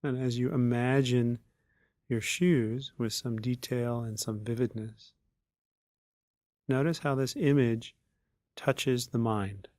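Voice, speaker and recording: A man leading a guided meditation as a teacher, speaking in unhurried, measured phrases with long pauses. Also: mid-range voice, fairly even intonation, darkish tone, no echo, studio quality.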